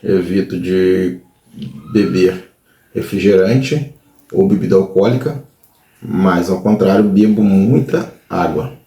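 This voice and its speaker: A man's voice: sits low, fairly even intonation, takes it slowly, dark tone. A man in his forties with a Brazilian Portuguese accent, giving brief, plain answers about his own health in an interview. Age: 40s. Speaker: interviewee, sales representative. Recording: echoey room.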